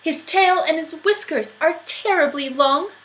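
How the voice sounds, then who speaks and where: A woman's voice: very high-pitched, a little slow, a bit bright, voice pushed up, poor audio. A woman voicing a brave, curious young female monster child in a storybook, exclaiming and wondering.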